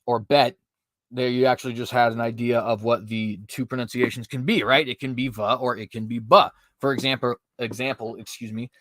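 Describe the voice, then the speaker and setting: Male host, mid-pitched voice, dry close recording, reads notes and corrects himself often. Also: a bit bright, no echo. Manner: reads prepared text, frequent fillers and self-corrections. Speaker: podcast host. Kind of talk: apologetics commentary reading notes.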